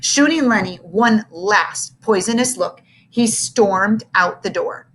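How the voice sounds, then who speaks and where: A woman narrating a children's book aloud with expressive storytelling. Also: medium pitch, slow pace.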